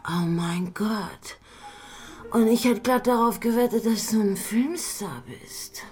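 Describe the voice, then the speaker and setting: Tipsy brassy floozy, slow slurred drawl, whispers, radio drama, music behind. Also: female voice, on the high side, a little mellow. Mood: tipsy, flirty. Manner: slurred, crude and coquettish, drops to a whisper. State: drunk.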